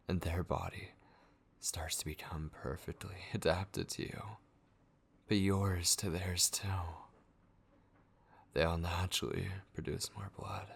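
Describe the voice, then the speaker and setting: Obsessive yandere kidnapper played by a man for horror ASMR: very deep, slow and brooding, menacing yet tender, often near a whisper. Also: exaggerated voice. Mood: possessive, intense. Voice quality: breathy, gravelly, often dropping into whisper. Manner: slow, intense, brooding delivery with drawn-out pauses. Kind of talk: ASMR roleplay horror acting.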